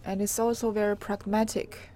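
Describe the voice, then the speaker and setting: A woman reporter giving an unscripted live tour on location, in a halting, hesitant way with pauses mid-phrase. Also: medium pitch, flat delivery, mellow. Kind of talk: unscripted live walk-through narration. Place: on location inside an old house. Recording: clearly noisy on-location live recording.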